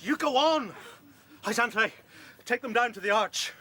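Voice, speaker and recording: An actor playing a brave, defiant rabbit fighter in a radio play, speaking bluntly and emphatically. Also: male voice, very high voice, animated, unhurried, heavily acted. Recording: loud background noise in radio drama.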